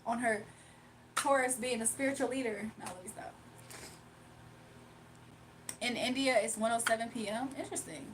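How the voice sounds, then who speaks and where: Woman streaming off her phone, kinda high voice, chatty, laughs a lot, faint hiss behind. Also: moderate pace, long pauses, low-quality recording. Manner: chatty, laughs often, talks straight to viewers. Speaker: livestreamer. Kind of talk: casual live-stream chat, reading comments aloud.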